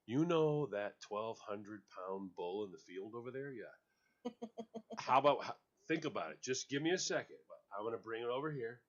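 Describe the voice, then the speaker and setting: A man's voice: medium pitch, lively intonation, normal speed, lo-fi sound. A male radio host in casual on-air banter, joking and laughing often as he tells stories.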